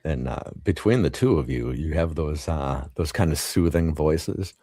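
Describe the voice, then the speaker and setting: Very deep-voiced laid-back man. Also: animated, warm tone, slightly rough, no room sound, studio quality. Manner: laid-back, agreeable, quick to laugh. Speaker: podcast host. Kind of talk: casual interview chat.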